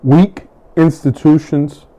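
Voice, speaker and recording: A middle-aged man from the United States giving a measured, deliberate campaign speech, pausing between each item he lists. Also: a little high, steady tone, mellow, muffled recording. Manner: measured, deliberate, with long pauses between list items. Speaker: political hopeful.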